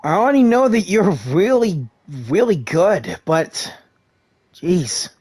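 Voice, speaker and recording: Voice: medium pitch, big pitch swings, takes it slowly, a little mellow, breathy edge, no echo, studio quality. A gamer giving casual, enthusiastic commentary and talking back to the game's characters. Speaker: gaming content creator. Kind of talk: casual reactive game commentary.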